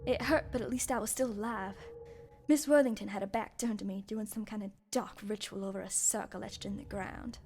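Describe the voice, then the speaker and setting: A woman's voice: mid-range voice, steady tone, brisk, a little mellow, close to an everyday voice. A woman playing a journalist who narrates a murder mystery in a scripted, dramatic radio play style. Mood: hushed suspense.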